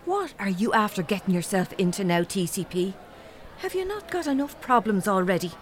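An actress playing an exasperated, sceptical young Irish woman student, sharp-tongued and incredulous, in a comedy audio drama. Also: mid-range voice, very animated, brisk, slight background noise, close to an everyday voice.

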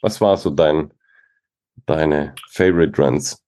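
A man's voice: a bass voice, slow, dark tone. A man hosting a casual interview in an informal, joking way, with English slang mixed in. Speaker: podcast host, interviewer.

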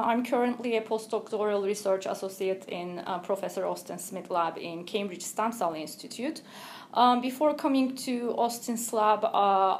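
A woman from Turkey, a researcher guest answering interview questions in a careful, explanatory way with thoughtful pauses. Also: mid-range voice, slight background noise.

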